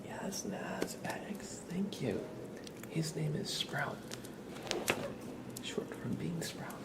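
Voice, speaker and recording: Whispering ASMR man, creaky and soft. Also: a little high, very slow, far from the mic. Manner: soft whispering, gentle coaxing talk. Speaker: ASMR live streamer. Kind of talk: ASMR, casual chat.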